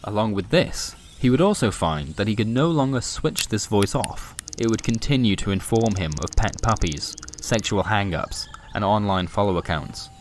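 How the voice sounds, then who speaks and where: A man with a low voice tells a scripted comic sci-fi tale. His delivery is wry and dramatic, with faint noise behind the voice. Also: animated, moderate pace, hardly any pauses. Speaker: storyteller.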